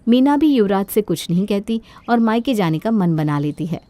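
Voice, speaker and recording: A woman's voice: mid-range voice, expressive, moderate pace, mellow, no room sound. A woman reading scripted storytelling narration for a cartoon moral story.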